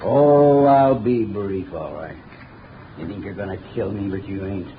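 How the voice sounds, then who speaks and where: A man's voice: measured pace, close to an everyday voice. A man playing a condemned murderer who threatens the court, in 1930s radio drama style. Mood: defiant. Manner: snarling threats, swinging from low growl to shouting. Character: condemned male murderer, menacing, vengeful. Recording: muffled noisy 1930s radio recording.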